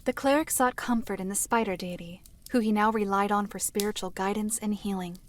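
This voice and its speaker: Woman playing solemn, measured seer narrator. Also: mid-range voice, fairly even intonation, a little hiss or hum, lightly acted. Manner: measured, formal. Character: solemn mystical female seer recounting past tragedies. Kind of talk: scripted dramatic narration.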